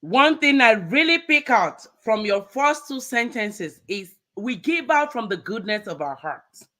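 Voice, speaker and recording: A woman hosting a live motivational talk show, energetic and upbeat, often ending phrases with "right?". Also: fairly high pitch, a little slow. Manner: energetic, repeats words, ends phrases with "right?". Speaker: host.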